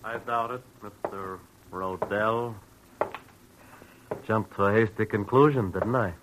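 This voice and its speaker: An actor playing a slick, menacing debt collector in a 1940s radio detective drama, taunting with mock politeness. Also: male voice, on the low side, fairly even intonation, slow. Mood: mocking menace. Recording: noisy old radio broadcast recording.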